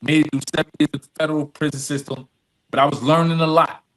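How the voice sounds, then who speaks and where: A man's voice: fairly high pitch, little pitch movement, fast talker, a bit bright, rough recording. A middle-aged man being interviewed, telling personal stories candidly and informally, repeating phrases for emphasis. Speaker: interview guest.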